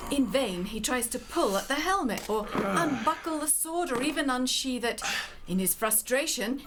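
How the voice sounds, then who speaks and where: A woman's voice: sits high, background noise. A woman narrating a scripted story in a dramatic storytelling style. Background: music.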